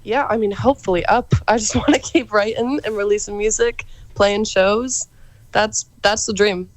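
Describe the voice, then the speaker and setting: A woman's voice: medium pitch, steady tone, normal speed, no room sound. A young adult woman, a musician, answering radio interview questions in a friendly, upbeat way. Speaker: musician guest.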